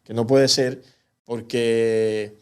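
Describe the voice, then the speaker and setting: A man's voice: medium pitch, little pitch movement, a little mellow, smooth. A man speaking passionately and indignantly as an activist guest in a TV interview. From Cuba. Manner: passionate, indignant, rapid bursts. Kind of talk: animated TV interview.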